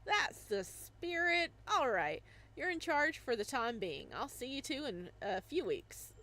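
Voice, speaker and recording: A woman doing a brisk, genial shop boss man. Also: sits high, sing-song, bright, forceful tone, heavily acted. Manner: bossy, upbeat.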